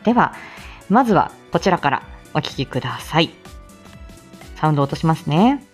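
Casting: female livestream host, bubbly and giggly, very lively intonation, casual chat over faint music. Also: sits low, normal speed. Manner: bubbly, giggly, self-deprecating. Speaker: host of a live morning audio stream; speech-language therapist. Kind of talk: casual chatty livestream, greeting listeners.

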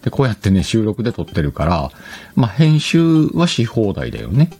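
A man's voice: lowish voice, huge pitch swings, moderate pace, warm tone, husky. A man hosting a solo podcast in a casual chat, playfully talking to himself and correcting himself as he goes. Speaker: podcast host. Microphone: external microphone, recorded on a computer.

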